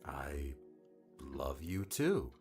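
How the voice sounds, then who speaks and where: A tired, tender adventurer played by a male narrator speaks in a very low register, subdued and given to sighs. It is audiobook dialogue, gently teasing, with soft music underneath. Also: big pitch swings, very slow, heavily acted. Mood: wistful, weary. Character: weary, affectionate adventurer man, gently teasing.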